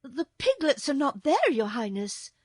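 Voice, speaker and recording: A woman's voice: very animated, unhurried, a bit bright, no echo, studio quality, full character voice. A woman voicing a troubled, deferential young palace maid in a storybook, reporting earnestly. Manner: earnest, high-pitched reporting. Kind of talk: storybook character voice.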